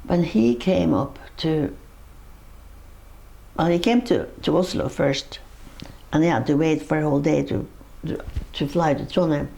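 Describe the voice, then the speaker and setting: A woman's voice: low voice, measured pace, creaky, long pauses, dark tone, low-quality recording. An older woman with a Norwegian accent, recalling her life in a personal interview, rambling through her story with laughs and restarts. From Norway.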